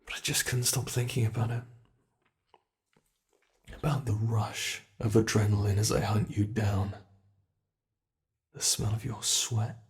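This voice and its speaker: A man playing a teasing, predatory monster in an intimate ASMR roleplay, speaking with playful menace and savored pauses, sometimes dropping toward a whisper. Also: lowish voice, little pitch movement, natural voice. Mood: eager, predatory. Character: teasing predatory male monster who hunts humans.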